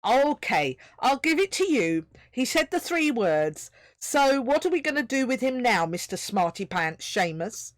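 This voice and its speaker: A woman voicing a tiny, irritable male magical being with a high nasal voice and a sarcastic, grumbling manner. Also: animated, slow pace, hardly any pauses, slightly rough, dry recording. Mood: irritated.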